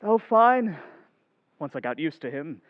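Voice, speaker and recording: A man's voice: on the high side, sing-song, measured pace, highs cut off. An actor playing an eager, slightly awkward young man, emphatic in an old-time radio drama. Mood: guarded.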